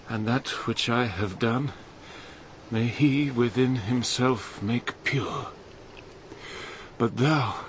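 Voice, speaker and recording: An actor playing a weary, noble, dying old king, reciting verse gravely and haltingly over a noisy track. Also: medium pitch. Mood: solemn, resigned. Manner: slow, halting, grave delivery.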